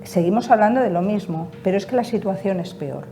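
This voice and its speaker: Woman from Spain, patient advocate on a panel; mellow tone, emphatic, faint background noise. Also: medium pitch, animated, brisk. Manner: emphatic, repeats key points. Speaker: patient association representative. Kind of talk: panel interview answers.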